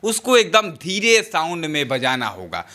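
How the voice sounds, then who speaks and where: A man's voice: on the high side, huge pitch swings, measured pace, bright, forceful tone. A man presenting and explaining political news in an emphatic, repetitive way.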